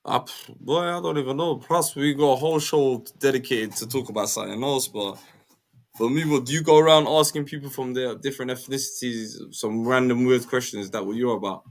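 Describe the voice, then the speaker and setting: A man's voice: mid-range voice, a little fast. Slangy British man hosting a live stream. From Somaliland. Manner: informal, slangy. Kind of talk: casual live chat.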